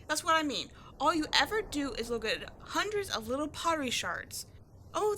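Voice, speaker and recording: A brash tomboy adventurer acted by a woman speaks in a high, bright voice. She is sarcastic, cocky and quick to get defensive, with slangy, exasperated delivery in the manner of an audio roleplay. Clear ambient noise sits behind her. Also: heavily acted. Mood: teasing. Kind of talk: scripted ASMR-style audio roleplay, one-sided dialogue.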